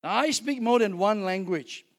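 A man's voice: sits high, animated, normal speed. An older man with a Singaporean accent preaching a sermon in a conversational way, often putting questions to his listeners. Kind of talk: sermon with scripture reading and teaching.

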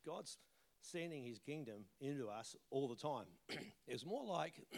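A man preaching as a visiting pastor in a church auditorium, conversational with joking asides and emphatic rises on key words, his voice carrying an occasional croak from the tail end of a cold. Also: mid-range voice, animated, takes it slowly. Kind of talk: sermon with prayer.

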